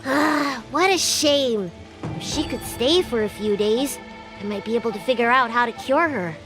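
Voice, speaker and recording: A woman voicing a small, excitable young girl healer in a video game, with a youthful, expressive and bossy yet earnest delivery. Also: a little high, moderate pace, natural voice, poor audio. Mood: thoughtful, concerned. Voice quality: youthful, girlish. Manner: expressive, exclaiming, dramatic. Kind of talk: video game character acting. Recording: game audio with background music.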